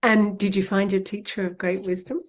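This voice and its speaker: A woman's voice: medium pitch, flat delivery, normal speed. Woman hosting a conversational interview. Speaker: interview host.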